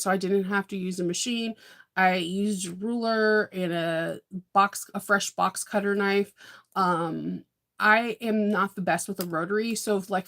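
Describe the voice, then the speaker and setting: A woman's voice: medium pitch, a bit bright. A woman from California chatting casually while she plans her sewing projects, rambling with lots of fillers and frequent laughs. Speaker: sewing vlogger. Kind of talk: casual live-stream chat.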